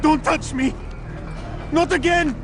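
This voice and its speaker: A man's voice: extremely high pitch, fairly even intonation, slow pace, full character voice. A man playing a hysterical, defiant hospital patient in a video game, screaming frantically. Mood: terrified, defiant. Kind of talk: dramatic video game acting. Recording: loud music behind the voice.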